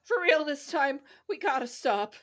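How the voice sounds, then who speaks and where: A woman hosting a casual book chat podcast, expressive and exasperated, swearing freely. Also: high-pitched, moderate pace. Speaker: podcast host. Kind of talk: casual chat about a novel.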